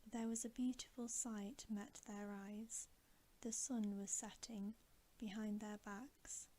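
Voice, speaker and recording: A woman reading a bedtime story as ASMR in a soft, breathy whisper, gentle and soothing. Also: mid-range voice, level tone, slow.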